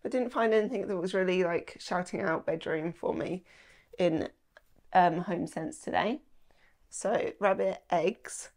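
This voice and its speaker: A woman's voice: fairly low pitch, measured pace, darkish tone. Chatty woman, slightly nasal. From England. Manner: chatty, rambling, with frequent "like" and "um". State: slightly nasal from a starting cold. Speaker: lifestyle vlogger. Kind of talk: casual haul chat to camera. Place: small indoor room at home.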